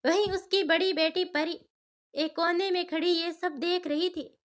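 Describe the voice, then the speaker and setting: A woman's voice: very high-pitched, fairly even intonation, measured pace. A woman storyteller narrating a scripted cartoon family tale.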